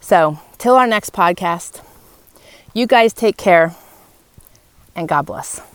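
A woman's voice: lowish voice, lively intonation, slow pace, dry recording. A woman hosting a motivational, faith-led podcast monologue, speaking earnestly and encouragingly, with emphatic repeated phrases. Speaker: podcast host. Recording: clearly noisy background.

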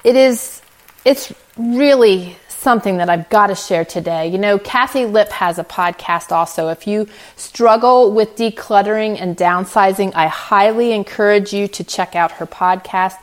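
A middle-aged woman with a medium-pitched voice talks solo as a podcast host. She is earnest and encouraging, speaking informally and conversationally, as if straight to her listeners. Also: moderate pace.

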